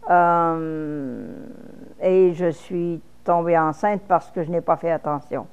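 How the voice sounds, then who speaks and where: Woman, Québécois French accent, 50s, interviewee, candid, lower pitch. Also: slow, clear, resonant tone, phone-quality sound. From Quebec, Canada. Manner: frank and plain-spoken, with false starts. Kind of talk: personal testimony interview.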